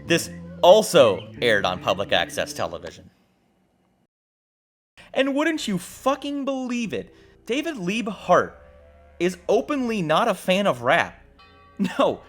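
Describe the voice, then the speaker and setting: A man's voice: sits high, wildly swooping pitch, slow pace, long pauses. A man narrating a video essay as host in a wry, exclamatory, casual style with some swearing. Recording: music behind the voice. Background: background music.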